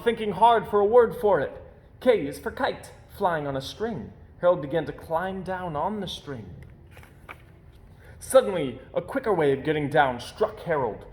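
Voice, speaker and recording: A man reading a children's picture book aloud as an expressive storyteller, putting theatrical emphasis on key words. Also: high voice.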